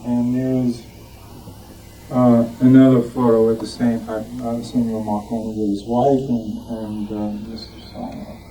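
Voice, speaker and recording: A man gives an informal show-and-tell, picked up from a distance in a noisy room. His voice is mellow and dark, and he talks haltingly, feeling around for the right word as he presents. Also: mid-range voice, flat delivery. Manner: hesitant, halting, searching for words. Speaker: club member presenting collection. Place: club meeting room with audience. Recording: distant muffled room mic, background noise.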